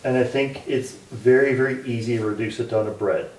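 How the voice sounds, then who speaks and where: A man thinking aloud in a group discussion, with quick restarts. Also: medium pitch, fairly even intonation, darkish tone. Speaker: group member. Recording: roomy, distant mic, faint noise.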